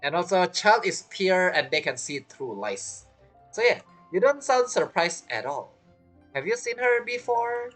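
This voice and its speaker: Playful woman with low, very lively voice. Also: unhurried, faint music behind. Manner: playful, reacts aloud to characters. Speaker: game streamer. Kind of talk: casual playthrough commentary.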